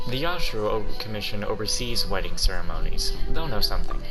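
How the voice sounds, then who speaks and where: Low-pitched, casual gamer commentary. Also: animated, quick pace, music in the background. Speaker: gamer recording a playthrough. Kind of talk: casual gameplay commentary, reading dialogue choices.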